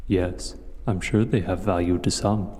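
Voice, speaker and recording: Man voicing a deep, ancient cavern dragon. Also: steady tone, mellow, a little hiss or hum. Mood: calm, philosophical. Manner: slow, deliberate, musing. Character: ancient male dragon, huge, aloof yet hospitable, philosophical. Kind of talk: audio roleplay voice acting. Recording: cavernous echoey reverb.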